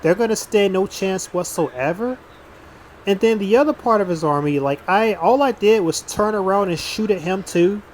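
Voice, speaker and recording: A man's voice: high-pitched, expressive, moderate pace, slight background noise. A man giving casual gameplay commentary as a YouTuber, blunt and rambling, with frequent restarts and some swearing. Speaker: gaming YouTuber.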